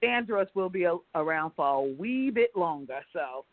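A woman's voice: fairly low pitch, expressive, normal speed, no room sound. A woman speaking as an interview guest, telling stories in a casual, conversational way over a phone line. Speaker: interview guest, company founder. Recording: dry, tinny phone line.

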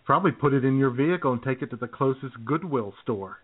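A man's voice: medium pitch, fast talker, slightly rough. A man with a mid-range voice banters casually on a talk show. He is laid-back and rambles a little, feeling his way through sentences. The sound is narrow and thin, like a phone call.

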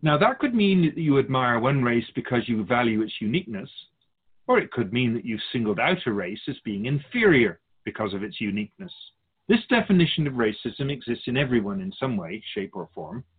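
Radio drama spirit guide, acted by a man: wry, didactic sage, husky and lively in pitch, narrow telephone-like sound. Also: fairly quick. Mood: measured, instructive. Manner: didactic, wry, formal phrasing. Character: Keeper of Souls, wise, wry otherworldly male spirit guide. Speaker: spirit teacher answering questions. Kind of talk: scripted radio drama dialogue, expository.

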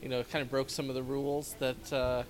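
A man's voice: mid-range voice, little pitch movement, quick pace. A man interviewing a filmmaker, prompting him with encouraging questions.

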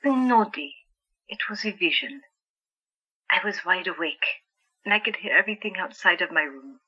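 A woman's voice: lowish voice, lively intonation, normal speed, pushed and bright, slightly rough, muffled recording, heavily acted. A woman playing a stubborn young sister plagued by visions in an audio drama, speaking earnestly and insistently. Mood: desperate.

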